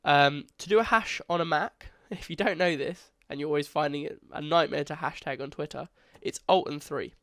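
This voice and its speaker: A man's voice: a little high, normal speed, creaky, few pauses, fairly bright tone, no room sound, studio quality. A young man, congested, casually talking through a coding tutorial and correcting himself as he goes. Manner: casual, chatty, self-correcting. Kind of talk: screen-recorded coding tutorial.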